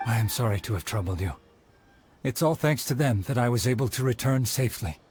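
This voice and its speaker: A man voicing a dignified heir of a sword art in a video game, speaking formally and in measured tones. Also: medium pitch, expressive, darkish tone, soft background music, lightly acted.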